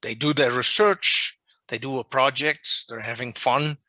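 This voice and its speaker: A Dutch-accented man lectures over a video call in slow, unhurried English. His voice is very bright and sharp, and it sits close and dry against the mic. He talks deliberately and comes back to his main points to make them land. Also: medium pitch, steady tone, no echo. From Netherlands. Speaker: journal editor presenting a workshop. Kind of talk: online lecture. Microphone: video call microphone.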